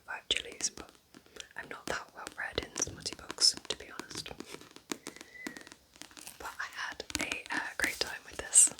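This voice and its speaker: A woman whispering gently and informally in an intimate, unhurried ASMR style, as a host giving personal recommendations. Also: rough recording.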